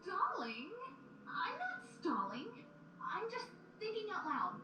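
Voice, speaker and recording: A woman's voice: extremely high pitch, takes it slowly, heavily acted. A woman playing a whiny, flippant, cocky magician pony in a cartoon-like audio drama. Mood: defensive. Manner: complaining, flippant, excitable. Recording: echoey, distant, noisy playback.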